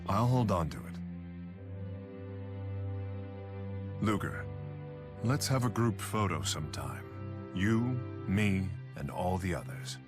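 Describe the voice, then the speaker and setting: An actor voicing a dignified, guarded king in a video game cutscene, serious and measured. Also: low-pitched, lively intonation. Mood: reserved, softening. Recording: music under the voice.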